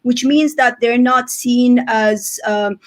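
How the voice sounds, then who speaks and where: Woman from turkey, native turkish speaker, panelist, video call, reflective, fairly high voice. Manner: measured, reflective, checking in with tag questions. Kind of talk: webinar panel talk.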